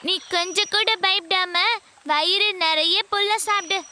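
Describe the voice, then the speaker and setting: A woman's voice: extremely high pitch, fairly even intonation, measured pace, pushed and bright, a little hiss or hum, exaggerated voice. A woman voicing a tiny, bold, childlike ant in a children's cartoon, speaking with confident defiance. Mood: firm, bold. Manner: confident, reassuring, challenging.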